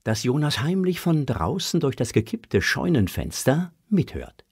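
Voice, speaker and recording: A man narrating a children's audio drama in an expressive, scripted storytelling style. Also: mid-range voice, unhurried, vocal fry, slightly rough, no echo, clean studio sound.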